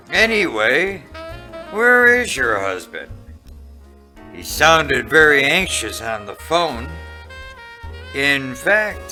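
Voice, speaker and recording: A man playing a boozy, wisecracking hardboiled private detective in a parody noir radio drama, delivered deadpan. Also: mid-range voice, exaggerated voice. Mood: dry, probing. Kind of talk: parody noir radio drama, first-person narration and dialogue. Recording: very echoey, distant, music underneath.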